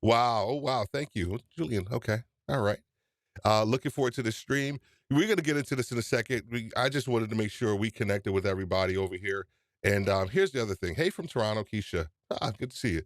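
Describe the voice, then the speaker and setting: Lowish-voiced new york guy hosting a live podcast stream, hyped, shouting out chat, dry sound. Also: a little fast, no echo. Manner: energetic, greets chat viewers by name. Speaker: podcast livestream host.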